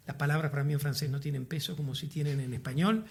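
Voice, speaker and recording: A Uruguayan man talking as an interview guest, his voice pitched a little high. He speaks in a thoughtful, measured way and pauses to search for the right word. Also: fairly even intonation. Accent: Uruguayan Spanish. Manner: reflective, measured, searching for words. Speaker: guest playwright. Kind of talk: interview conversation.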